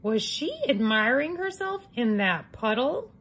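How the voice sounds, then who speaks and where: Female storyteller for children's picture books, higher voice, very animated, taking her time. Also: unhurried, breathy edge. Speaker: read-aloud storyteller. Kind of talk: expressive picture-book reading for children.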